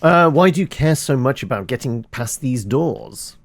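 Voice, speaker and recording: A man's voice: mid-range voice, animated, normal speed, vocal fry. A man giving casual gameplay commentary as a video host, muttering hesitantly with a wry touch. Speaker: gaming video host and player.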